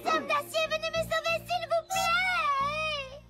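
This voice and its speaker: A woman voicing a tiny childlike motorbike, anxious but game, with halting, exaggerated delivery in a children's cartoon. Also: extremely high pitch, flat delivery. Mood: mock distress. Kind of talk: animated children's cartoon dub. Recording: music behind the voice.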